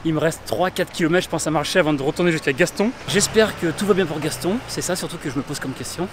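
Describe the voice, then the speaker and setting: A man's voice: on the high side, quick pace, background noise. A man walking outdoors in a city, chatting enthusiastically to his viewers in a casual travel vlog. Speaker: travel vlogger. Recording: music playing behind.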